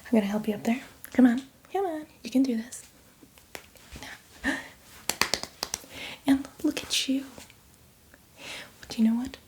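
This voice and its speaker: A woman's voice: on the high side, warm tone, a little hiss or hum, natural voice. A woman playing a hardcore, teasing zombie mentor in a whispered, coaxing ASMR roleplay with playful asides. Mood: encouraging.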